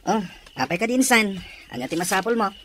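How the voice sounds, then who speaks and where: A woman's voice: mid-range voice, very animated, fast talker, close to an everyday voice. A woman playing a helpful, friendly young cousin in a Filipino radio drama, with an Ilocano accent and faint noise in the recording. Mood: helpful, casual.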